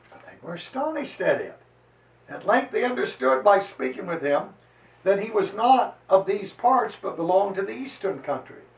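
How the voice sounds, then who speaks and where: High-pitched declamatory man in an echoey room. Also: vocal fry. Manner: emphatic, declamatory, with drawn-out deliberate phrases. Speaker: reader and lecturer on history. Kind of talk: dramatized reading of a historical chronicle to listeners. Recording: roomy echo, voice distant from microphone.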